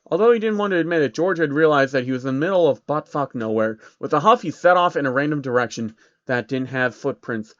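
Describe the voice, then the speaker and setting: A man's voice: on the high side, expressive, quick pace, a bit bright, no room sound, lo-fi sound. A man reading out a comic story as scripted narration.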